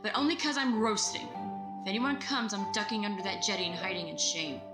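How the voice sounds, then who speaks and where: A woman's voice: medium pitch, expressive, a little fast, loud music behind the voice, close to an everyday voice. Woman as lilting, modest young match girl. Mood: anxious, reluctant. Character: modest, anxious young Victorian match girl, gently wry. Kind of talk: audio drama acting.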